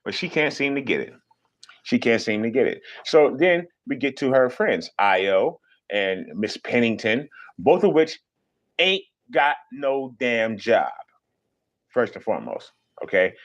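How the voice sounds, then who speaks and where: A young man ranting on a live stream in a casual, profane way. His pitch sits mid-range, and he jumps into high, mocking voices when he imitates people. He talks animated and blunt, with loose restarts. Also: unhurried.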